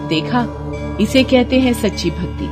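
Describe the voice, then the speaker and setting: A woman's voice: fairly high pitch, expressive, measured pace, music in the background. A woman voicing a righteous, wrathful mother goddess in a devotional story, commanding and scolding as she declaims. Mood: stern, benevolent.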